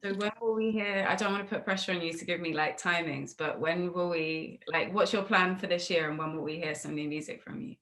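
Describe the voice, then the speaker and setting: Low-voiced woman interviewing casually. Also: brisk, few pauses, fairly bright tone. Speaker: interviewer, music show host. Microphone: video call.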